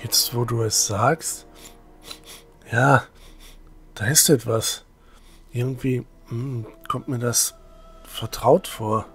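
A man's voice: medium pitch, fairly even intonation, slow, long pauses, a bit bright, soft background music. A man playing a hesitant, wary young traveller in a fan-made fantasy audio drama. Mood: uneasy. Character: hesitant, easily winded young male traveller Hector.

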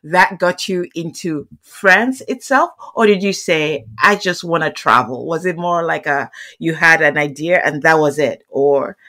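Low-pitched woman hosting a chatty podcast. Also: measured pace, hardly any pauses, sharp tone. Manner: effusive, reacts with interjections and laughter. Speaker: podcast host. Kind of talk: casual, chatty interview.

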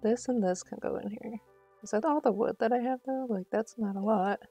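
A woman's voice: on the high side, brisk, dark tone, faint music behind. A woman chatting casually on a live game stream, thinking aloud in a self-deprecating way.

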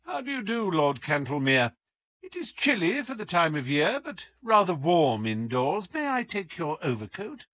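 A man voicing a suave, affable gentleman detective with a polite, teasing manner in an audiobook. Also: a little high, very animated, normal speed, no echo, pitched above the performer's own voice.

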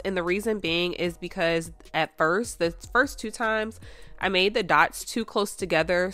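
A hoarse, stuffed-up woman rambling chattily through a casual nail art tutorial at home. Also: on the low side, fairly bright tone, no echo. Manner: chatty and rambling, full of "like" and "you guys".

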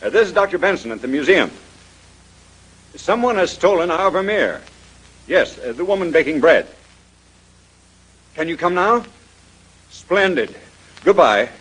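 An actor playing a courteous, cautious museum director in a 1930s radio drama. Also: male voice, a little high, very animated, unhurried, pauses often, a bit bright, breathy edge, full character voice. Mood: urgent. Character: courteous, cautious museum director, middle-aged man of authority. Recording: noisy vintage radio transcription.

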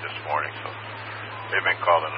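Male police radio operator, deep and informal, through a dull, very noisy scanner feed. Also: steady tone, brisk. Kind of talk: casual police radio talk.

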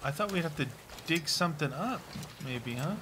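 A man's voice: fairly high pitch, lively intonation, normal speed, fairly bright tone, slight background noise. A man chatting casually and playfully with a co-player during a video game. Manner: joking, playful. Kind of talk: casual gameplay banter with a co-player. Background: video game ambience.

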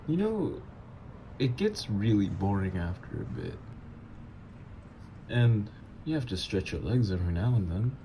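A man's voice: lowish voice, big pitch swings, measured pace, pauses often, mellow, a little hiss or hum. A man voicing a teasing supervillain ex. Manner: teasing, playful, flirtatious. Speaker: voice actor. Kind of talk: ASMR roleplay audio.

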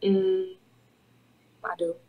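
A woman's voice: mid-range voice, little pitch movement, extremely slow, narrow, tinny audio. A woman in her twenties on a video call, blunt and unimpressed. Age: 20s. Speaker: online date contestant. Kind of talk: casual video call chat.

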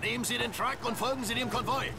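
High, gravelly barking officer. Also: male voice, steady tone, fast talker, noisy background. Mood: impatient. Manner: commanding, brusque. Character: barking, impatient army officer. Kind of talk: dubbed video game dialogue.

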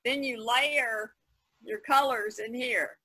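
Female podcast participant, artist. Bright, forward voice, dry close sound, casual explanatory chat on a video call. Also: fairly high pitch, fairly even intonation, takes it slowly, slightly rough, no room sound. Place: online video conference call, indoors.

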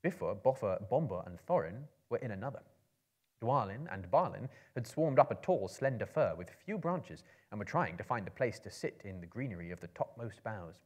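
A man in his thirties giving a theatrical, measured dramatic reading of a fantasy story. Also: on the low side, lively intonation. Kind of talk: dramatic reading of a fantasy novel to a live audience. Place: book-lined room with a live audience.

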